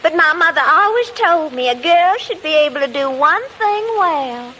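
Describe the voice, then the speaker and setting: Coy catty southern belle, really high bright voice, gushy, old radio comedy, slight noise. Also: female voice, lively intonation, normal speed, low-quality recording. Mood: sweetly catty. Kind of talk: 1940s radio comedy acting. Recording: old radio recording, faint hiss.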